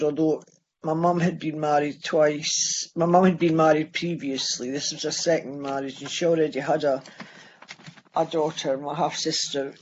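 A Scottish man in his sixties sharing his life story at a recovery meeting, halting and restarting as he goes. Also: a little high, darkish tone, muffled recording, a little hiss or hum. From Scotland. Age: 60s. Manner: halting, with many restarts and pauses. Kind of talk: personal testimony.

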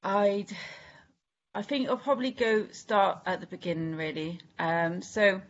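Halting Welsh woman sharing her story. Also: mid-range voice, muffled recording. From Wales. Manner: halting, candid, many restarts and fillers. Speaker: recovery meeting speaker. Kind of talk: personal share at a recovery meeting.

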